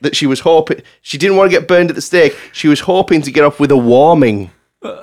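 Giggly man hosting a comedy podcast, higher voice. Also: brisk, very noisy. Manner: giggly, often breaking into laughter while reading. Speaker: podcast host reading out listener submissions. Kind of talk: casual comedy podcast banter.

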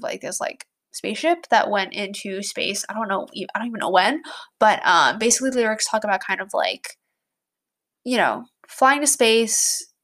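A woman's voice: medium pitch, fairly bright tone. A young woman in her twenties hosting a casual podcast, chatty with lots of fillers and restarts, her voice stuffy and congested. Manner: chatty, heavy use of "like", many restarts. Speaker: podcast host.

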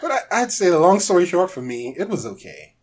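A man with a husky, rough-edged voice and lively, swinging intonation. He chats casually as a podcast co-host, repeating himself for emphasis. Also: sits high, brisk. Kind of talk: casual movie discussion.

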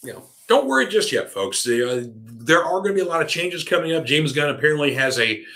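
Man, bright voice, slightly hoarse, rambling, fillers and restarts, casual solo chat host. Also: fairly high pitch, very animated, fairly quick. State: voice tiring, a little hoarse. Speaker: podcast host. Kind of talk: casual solo chat reading listener comments. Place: home room with a cat nearby.

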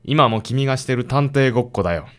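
A man's voice: mid-range voice, lively intonation, fast, fairly bright tone, natural voice. A man playing a stern, disapproving father and police detective in a radio drama, formal and curt. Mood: stern, reproachful.

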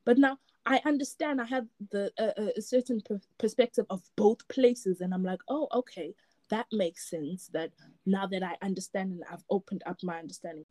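A woman's voice: mid-range voice, normal speed, warm tone, no room sound. A woman from Africa, reflecting in a casual chat and often repeating words as she searches for them. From South Africa.

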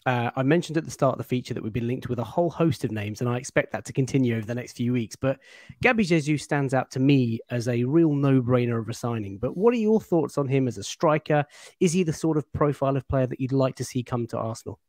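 A man hosts a football breakdown show, introducing his guest in a steady, measured way. His voice sits at an ordinary pitch. The sound is dry and close. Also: no echo. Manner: measured, introducing and asking questions. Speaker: host of a football analysis show. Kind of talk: presenting and interviewing.

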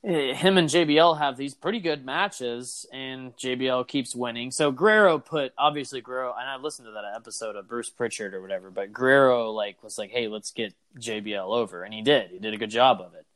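A man in casual conversation who rambles and gets animated, full of "like" and "man". His voice is mid-pitched, and the sound is dry and close with no room around it. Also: fairly quick, few pauses, no echo. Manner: animated, rambling, lots of fillers. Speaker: podcast co-host. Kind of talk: casual chat about wrestling.

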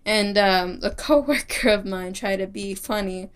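A woman's voice: mid-range voice, measured pace, clean tone. Young woman, light Texas twang, solo podcast host; rambles, laughs often, lots of ums and restarts. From Texas, United States. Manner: rambling, giggly, many fillers and restarts. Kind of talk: casual solo podcast chat.

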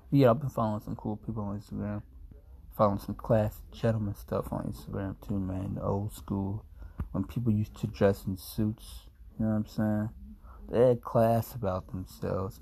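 A man's voice: on the low side, gravelly, warm tone, slight background noise, rough recording. A man in his twenties talking to camera in a laid-back, meandering, self-mocking way, sounding sleepy. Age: 20s. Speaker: vlogger. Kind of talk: rambling casual monologue to camera.

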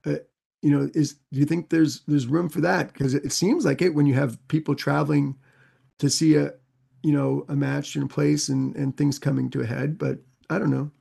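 Male co-host, very gravelly voice with lots of fry, slightly high, hesitant and questioning. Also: flat delivery, moderate pace, mellow. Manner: hesitant, many fillers and restarts, asks questions. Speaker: podcast co-host. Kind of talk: casual chat.

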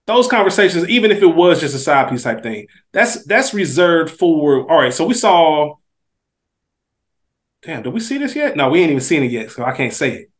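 A man's voice: fairly high pitch, very animated, normal speed. An American man co-hosting a casual TV recap podcast, talking in slang and repeating phrases for emphasis. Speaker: podcast co-host.

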